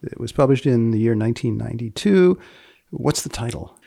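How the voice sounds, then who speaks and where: A man hosting a research podcast, putting questions in a deliberate, deadpan way with a husky, gravelly voice. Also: medium pitch, a little hiss or hum. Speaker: podcast host and magazine editor. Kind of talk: podcast interview about research papers.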